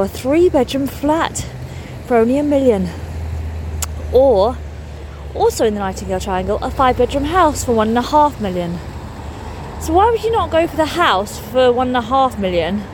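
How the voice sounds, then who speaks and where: Excitable chatty woman. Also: a little high, noisy background. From England. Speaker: comedian vlogging her tour. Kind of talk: casual vlog chat to camera.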